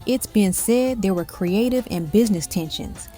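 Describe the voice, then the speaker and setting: A woman's voice: medium pitch, moderate pace, warm tone. A woman reading scripted music countdown narration, telling it like an evocative story in short dramatic phrases.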